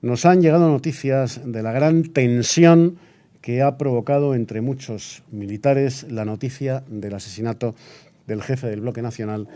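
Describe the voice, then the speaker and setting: A man's voice: mid-range voice, mellow, lightly acted. A man playing a solemn, indignant Spanish radio newsreader of 1936, reading a formal, declamatory bulletin. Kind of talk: scripted period radio news bulletin.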